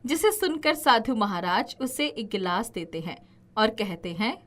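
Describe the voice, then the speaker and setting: A woman's voice: on the high side, lively intonation, measured pace, fairly bright tone. A woman narrating a scripted children's story as the narrator.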